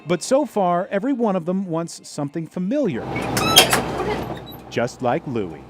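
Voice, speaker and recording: Lively male feature reporter, higher-pitched voice. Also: measured pace, heavy background noise. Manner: storytelling, folksy. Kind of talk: scripted narration of a human-interest TV feature.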